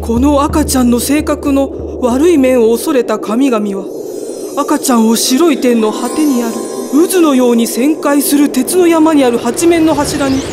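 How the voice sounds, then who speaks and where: A woman's voice: sits high, a little fast. A woman from Japan reading a scripted epic tale as narrator. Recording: music behind.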